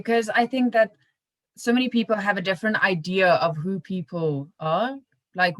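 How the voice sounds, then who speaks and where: A woman's voice: lowish voice, dry recording. A woman chatting casually as a podcast interviewer, with a careful, tentative way of putting things.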